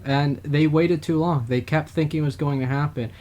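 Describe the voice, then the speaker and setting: A man's voice: a little high, fairly even intonation, moderate pace, a little mellow. A man co-hosting a political talk podcast, chatting casually and mocking opponents in a raised voice. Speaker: podcast co-host. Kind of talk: political talk show chat.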